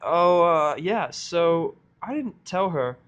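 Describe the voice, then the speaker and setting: A man's voice: fairly high pitch, expressive, slow pace, fairly bright tone, clear, resonant tone, pitched above the performer's own voice. A man voicing a brash, boastful young female flying pony in a fan fiction reading. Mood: tentative. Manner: boastful, eager.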